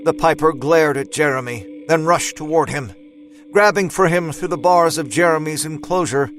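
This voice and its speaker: Male narrator, slightly high voice, deliberate literary phrasing, dramatic storytelling, soft music behind.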